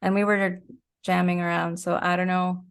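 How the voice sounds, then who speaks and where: Female guest, lower-pitched voice, informal and chatty, prone to chuckling mid-speech. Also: little pitch movement, normal speed, creaky, clean tone. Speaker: interview guest, actor and musician. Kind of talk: casual interview chat.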